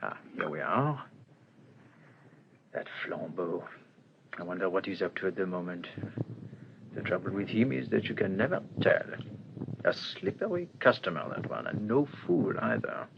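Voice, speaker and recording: An actor playing a shrewd, self-assured police detective in a radio crime drama, with a theatrical delivery. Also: male voice, low voice, moderate pace, gravelly, pauses often. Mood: musing, self-assured. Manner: theatrical, musing then demanding. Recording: dull old radio recording, faint hiss.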